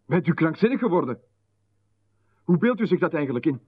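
A man playing a smooth, menacing visitor who talks down to a writer in a radio play, calmly condescending. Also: fairly high pitch, fairly quick. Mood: indignant. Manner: calmly condescending, turning sharply indignant. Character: smooth menacing male visitor, patronizing official. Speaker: visitor who calls himself Marchon. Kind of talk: 1990s radio play acting. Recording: narrow phone-like radio band.

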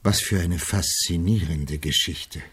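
Grave, husky low-voiced gentleman. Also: exaggerated voice. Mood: astonished. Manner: formal, measured, grave. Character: earnest, troubled gentleman, polite but reproachful. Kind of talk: German radio drama acting.

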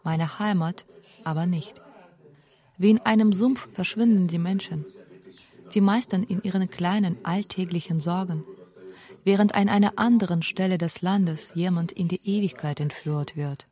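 Mellow, reflective thirty-year-old woman from Belarus. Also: lowish voice, normal speed. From Minsk, Belarus. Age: 30s. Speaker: feature author and narrator. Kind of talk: scripted first-person documentary narration.

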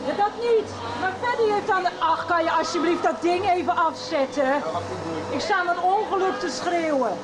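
Radio drama, exasperated, very high strained-over-noise voice, roaring household din. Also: female voice, normal speed. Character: exasperated woman shouting over household racket. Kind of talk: 1990s radio soap acting. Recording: very loud vacuum cleaner and background din.

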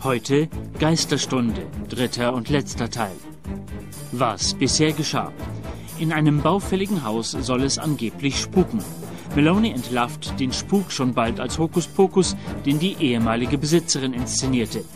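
A man's voice: medium pitch, lively intonation. A male announcer giving the series title and a formal recap of the earlier episodes. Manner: measured, formal. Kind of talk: radio play announcement and recap. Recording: voice over music.

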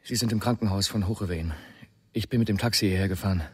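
An actor playing an anxious, courteous family friend, earnest in delivery, in a radio drama. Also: male voice, on the low side, quick pace, husky. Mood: subdued, polite. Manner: polite, earnest.

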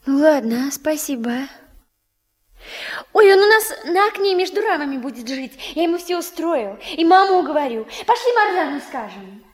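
A woman playing a lively, pleading, stubborn child in a radio play, expressive and childlike. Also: sits high, normal speed, long reverb tail. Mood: excited, pleading. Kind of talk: radio play narration and acting.

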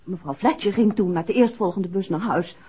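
An actress playing a composed, caring detective's wife in a 1960s radio play, with a husky voice. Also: female voice, mid-range voice, fairly quick, warm tone. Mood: explaining. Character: composed, caring detective's wife recounting events to her husband. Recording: dull, muffled 1960s radio recording.

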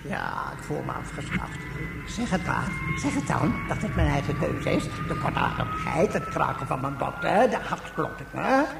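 A grumbling old hermit guide in a radio play, with a creaky voice that swings widely in pitch. The talk is weary, rambling and self-pitying. The recording is echoey and noisy. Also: female voice, big pitch swings, normal speed, loud music behind the voice, heavily acted. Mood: resigned, gloomy. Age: older. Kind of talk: radio drama acting.

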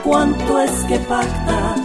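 A woman's voice: high voice, fairly even intonation, takes it slowly, loud music behind the voice. A woman singing the theme song of a radio soap opera.

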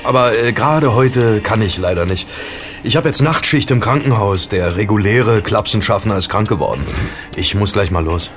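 An actor playing an easygoing young night porter in a German radio play, with a casual, colloquial way of talking. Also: male voice, fairly low pitch, moderate pace, a bit bright, faint music behind, close to an everyday voice.

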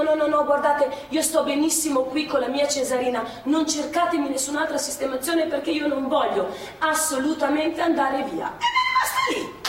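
An actress playing a chatty old woman. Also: sits high. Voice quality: conversational, repeats herself for effect. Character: elderly Milanese woman sheltering partisans, chatty. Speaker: actress in a stage play. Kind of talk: theatrical monologue, recorded live. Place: theatre stage. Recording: echoey theatre stage, mic far off.

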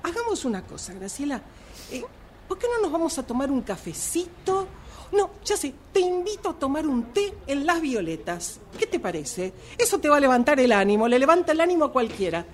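A woman's voice: sits high, sing-song, brisk. A woman playing a supportive, insistent friend in a radio drama, with an Argentine accent and hesitant, coaxing phrasing. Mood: upbeat coaxing. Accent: Argentine Rioplatense. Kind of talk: radio drama workshop dialogue. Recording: noisy amateur workshop recording.

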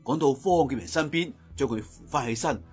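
A man's voice: medium pitch, brisk, darkish tone, slightly rough, soft background music. A man with a Cantonese accent narrating martial-arts tales as a traditional storyteller, theatrical and emphatic at sentence endings.

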